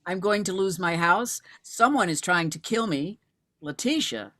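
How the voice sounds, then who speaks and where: A woman's voice: fairly low pitch, animated, a little slow, a bit bright. A woman in a screenplay table read, playing a stubborn, terse widowed mother turned amateur investigator. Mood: agitated, despairing.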